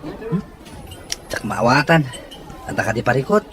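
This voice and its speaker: A man's voice: mid-range voice, very animated, a little slow, a bit bright, some chatter behind, slight background noise. A man playing an easygoing, curious friend who reacts with disbelief in an audio soap drama. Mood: curious, incredulous. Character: curious, incredulous man, easygoing and skeptical of gossip.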